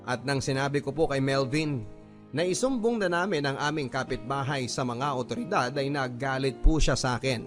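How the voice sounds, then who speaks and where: A man narrating a listener's letter in first person, in a measured, earnest storytelling manner. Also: medium pitch. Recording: clear music bed underneath.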